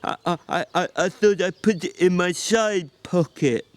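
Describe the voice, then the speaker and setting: A man voicing a simple-minded, childlike man in a story reading, eager and forgetful, with a halting, stammering delivery. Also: high voice, close to an everyday voice. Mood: sheepish, pleading.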